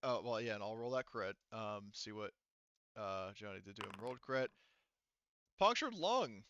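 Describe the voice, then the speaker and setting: Clear, bright-voiced man running a game. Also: fairly low pitch, lively intonation, no echo, dull audio. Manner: halting, thinks aloud while tallying rules and rolls. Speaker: game master. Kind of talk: casual tabletop game refereeing.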